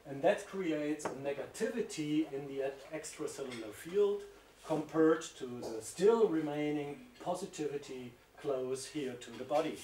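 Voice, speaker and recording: A man's voice: on the high side, dark tone, a little hiss or hum. A middle-aged man with a German accent giving a university lecture, explaining slides and pointing as he talks. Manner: explanatory, pointing at slides, gestures while speaking. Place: seminar room with projector. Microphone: distant room mic. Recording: echoey room, speaker far from mic.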